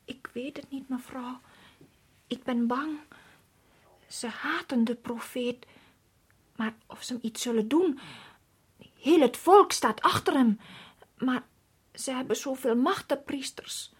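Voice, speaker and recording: An actress playing a timid, deferential village maidservant in a radio drama, speaking hesitantly. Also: female voice, high voice, slow pace, long pauses, breathy edge, exaggerated voice. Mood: fearful.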